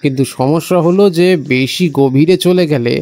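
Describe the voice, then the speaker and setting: A man playing an evasive village local who knows dark secrets, talking hesitantly and coaxingly in an audio horror story. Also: a little high, moderate pace, close to an everyday voice. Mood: grave warning.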